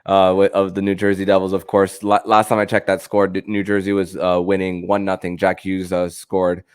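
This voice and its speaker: Low, smooth-voiced man with halting delivery. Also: monotone, dry recording. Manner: halting, stammering, repeats words and phrases. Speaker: sports podcast host. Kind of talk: casual sports talk.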